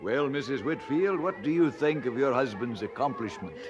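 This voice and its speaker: An actor playing a friendly, concerned family friend in a radio drama, kindly and conversational. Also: male voice, mid-range voice, expressive, normal speed, over music, natural voice.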